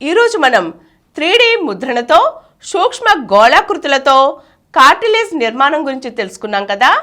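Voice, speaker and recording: Lively high-voiced woman narrating health science. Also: fairly quick. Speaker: presenter reading health science explainers. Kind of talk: scripted educational narration.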